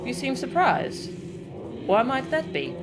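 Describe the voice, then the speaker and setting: A genial male shade from a fantasy game, acted out by a streamer, wistful and quietly amused. He muses politely with a lilting delivery, while music plays clearly behind him. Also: high voice, very animated, measured pace, pitched above the performer's own voice. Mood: musing.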